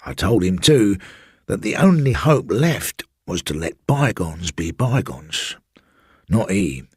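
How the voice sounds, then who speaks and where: A man's voice: sits low, very animated, slow pace, breathy edge, no room sound, studio quality, full character voice. A man voicing a garrulous old railway porter who rambles confidingly and keeps addressing his listener as sir. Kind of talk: audiobook storytelling.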